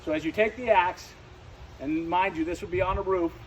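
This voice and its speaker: Guy with kinda high voice showing how to use gear, repeats himself, some noise behind. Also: a little fast, rough recording. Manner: repeats and restarts phrases as he explains. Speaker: firefighting instructor. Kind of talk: hands-on demonstration, unscripted teaching.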